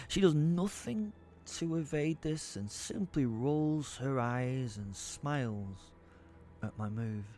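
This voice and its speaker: A man's voice: medium pitch, a little mellow. An actor playing a foul-mouthed, ranting comic werewolf who narrates his own audio drama in a theatrical, rambling, sweary way. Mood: indignant. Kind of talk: dramatised first-person storytelling. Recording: music under the voice.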